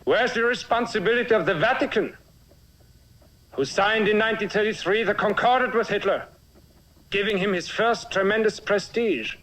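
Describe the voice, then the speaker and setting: A man's voice: high-pitched, moderate pace, a bit bright, rough recording. A man playing an impassioned defense lawyer, delivering an emphatic, oratorical courtroom speech in a dramatic film. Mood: indignant, accusing. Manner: oratorical, emphatic, rhetorical questioning. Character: impassioned male defense lawyer arguing before a tribunal.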